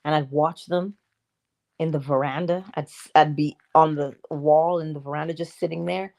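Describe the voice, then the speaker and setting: A woman hosting a casual morning livestream, chatty and playful, laughing often and breaking into sudden high exclamations. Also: steady tone, moderate pace, creaky, a little mellow. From Barbados.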